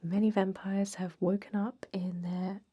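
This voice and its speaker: A woman playing a friendly, chatty old vampire job interviewer in a soft, breathy ASMR roleplay whisper. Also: medium pitch, level tone, a little slow. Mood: friendly, cautioning. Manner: gentle, chatty, encouraging.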